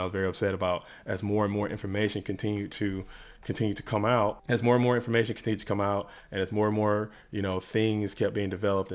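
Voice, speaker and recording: Low, even-toned man speaking off the cuff. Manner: repetitive, circling phrasing with repeated restarts; informal, slangy address. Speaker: YouTuber making videos and interviews about a card game. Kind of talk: unscripted personal statement to community.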